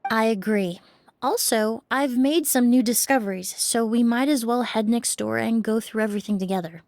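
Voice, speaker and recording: A woman playing a calm, analytical expert. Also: a little high, normal speed, a little hiss or hum, natural voice. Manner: calm, precise, explanatory. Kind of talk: game voice acting.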